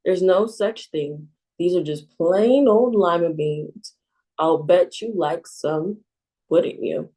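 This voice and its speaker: A woman voicing a kind, plump old woman healer who talks slowly and gently, at times a little away from the mic. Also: fairly low pitch, recorded from a distance. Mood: gentle.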